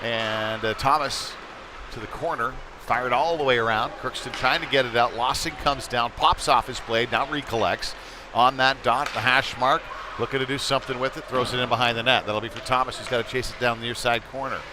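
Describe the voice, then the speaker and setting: A man's voice: medium pitch, steady tone, brisk. A man doing live play-by-play hockey commentary for a local stream, speaking in clipped running phrases as he follows the play. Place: indoor ice rink during a game. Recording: noisy live rink broadcast. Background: loud ambient arena noise.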